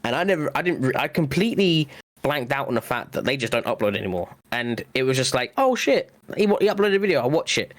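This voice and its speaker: A man's voice: mid-range voice, sing-song, fast talker. Chatty young man from the UK. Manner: chatty, nostalgic, swears, acts out his own reactions. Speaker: podcast co-host. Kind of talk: casual chat.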